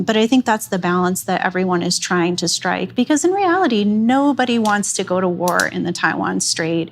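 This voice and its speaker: Woman panelist, lowish gravelly voice, earnest, lots of ums, slight background hum. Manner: measured, earnest, frequent fillers. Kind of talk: policy panel discussion. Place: event hall before an audience.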